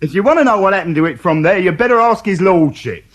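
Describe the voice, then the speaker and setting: A cheeky small-time burglar. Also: male voice, sits high, lively intonation, fast. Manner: breezy, mocking, evasive. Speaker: suspect. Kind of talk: radio drama acting.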